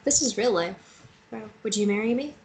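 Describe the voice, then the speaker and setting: A woman's voice: mid-range voice, moderate pace, creaky, darkish tone, natural voice. A woman playing a tender, hesitant young woman in a naturalistic fantasy romance table read. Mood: wistful. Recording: noisy recording with room ambience.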